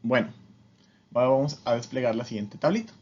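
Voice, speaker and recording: A man's voice: medium pitch, a little fast, darkish tone, breathy edge, muffled recording. A man giving an informal, explanatory language lesson as a teacher, with a didactic manner and frequent short check-in questions.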